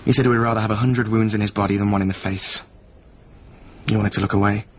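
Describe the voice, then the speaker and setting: A man's voice: lowish voice, fairly even intonation, fast talker, close to an everyday voice. An actor playing a weary, plain-spoken man in a radio drama, talking in terse, matter-of-fact fragments. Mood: grim. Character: weary, plain-spoken former companion of a doomed painter. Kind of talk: naturalistic radio drama acting. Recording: muffled recording with faint background noise.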